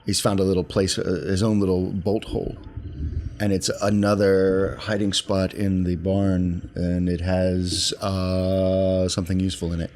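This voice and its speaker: A man with a deep, low voice talks as a player at a tabletop roleplaying game. His delivery is casual, conversational game-table chat. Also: monotone, unhurried, darkish tone, slight background noise.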